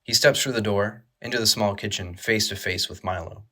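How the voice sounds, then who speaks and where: A man narrating a horror audio drama with measured, dramatic, scripted storytelling. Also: fairly low pitch, pushed and bright.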